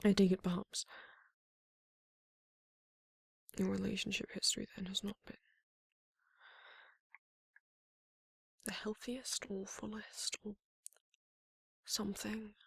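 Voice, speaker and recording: A performer voicing a tender, courtly vampire lover in an ASMR romance roleplay, breathy and often whispering, with slow, soothing, formal speech. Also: mid-range voice, little pitch movement, no room sound, poor audio.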